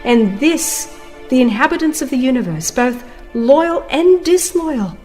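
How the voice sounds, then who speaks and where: A woman reading a religious text as a dramatized audiobook narration, solemn, emphatic and deliberate in delivery. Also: sits high, sing-song, a little mellow. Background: music.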